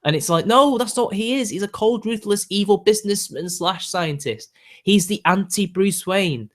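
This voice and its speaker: A man's voice: high voice, lively intonation, moderate pace. A British man in his twenties with a young-sounding voice, chatting casually and ranting to his viewers with lots of fillers. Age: 20s. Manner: ranty, exasperated, filler-heavy. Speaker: YouTube host.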